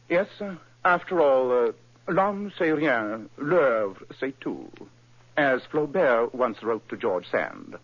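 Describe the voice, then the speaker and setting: An actor playing a brisk, precise, coolly confident male detective in a 1940s radio drama, explaining his deductions crisply. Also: on the high side, no room sound. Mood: languid. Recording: dull old radio recording.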